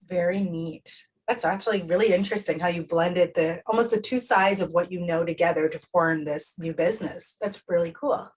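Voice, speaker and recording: A woman's voice: lowish voice, moderate pace, few pauses, husky. A woman hosting a podcast, tired, who reads the guest introduction from a script and chats in a friendly way. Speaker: podcast host.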